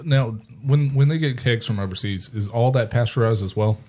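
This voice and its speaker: A man's voice: medium pitch, normal speed, dark tone, slight background noise. A man co-hosting a casual podcast, asking the guest questions with dry humor. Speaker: podcast co-host. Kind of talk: casual chat.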